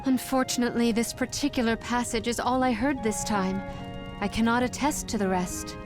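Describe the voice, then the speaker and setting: High female voice acted, formal, poetic, composed, scholarly, precise diction, fantasy game heroine, music behind. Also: fairly even intonation, natural voice. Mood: earnest. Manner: formal, measured, precise wording. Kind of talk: video game voice acting.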